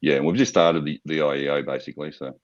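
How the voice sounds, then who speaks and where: A man with an Australian accent pitching his startup to investors, stopping often and repeating words as he explains. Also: steady tone, muffled recording. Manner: halting, repeats words, frequent fillers. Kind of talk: business pitch Q&A.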